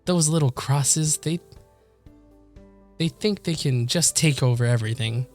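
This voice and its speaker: A man's voice: on the high side, a little slow, a little mellow, close to an everyday voice. A man voicing a playful, boastful girl from a visual novel, with cocky, bantering delivery. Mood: cocky, teasing. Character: teasing, boastful, playful anime game girl. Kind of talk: visual novel dialogue read aloud. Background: game music.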